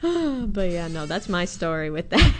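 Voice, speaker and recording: A woman's voice: on the low side, big pitch swings, unhurried, slight background noise. A woman of nineteen chatting casually, laughing often and filling her talk with "like" and "bro". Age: young adult. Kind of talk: casual chat.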